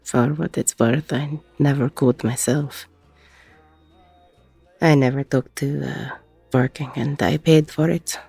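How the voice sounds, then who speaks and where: A woman playing a weary, protective middle-aged ex-con bar owner in an intimate audio roleplay, with a husky, gravelly voice. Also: rumbling low, steady tone, slow, long pauses, mellow, dry recording, soft background music, exaggerated voice. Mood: weary, fond.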